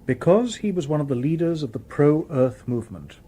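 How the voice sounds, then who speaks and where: An actor playing a calm, courteous humanoid robot detective in a radio drama, with formal, precise phrasing. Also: male voice, a little high, normal speed, slightly rough.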